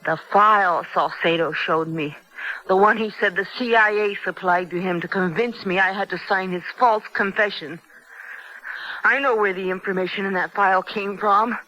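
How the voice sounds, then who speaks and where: A slightly muffled old radio drama recording of an actress as a young American woman held prisoner. Her voice is bright and pointed, with an American accent. She is defiant, skeptical and accusing. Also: mid-range voice, fairly even intonation, normal speed, natural voice. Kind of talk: 1970s radio drama acting. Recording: dull, band-limited 1970s radio recording.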